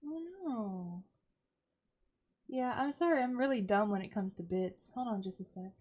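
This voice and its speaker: A woman's voice: mid-range voice, normal speed, a little mellow. A woman livestreamer chatting casually with her viewers in a bubbly, exclamatory, readily apologetic way. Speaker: live streamer. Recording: music behind the voice. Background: background music.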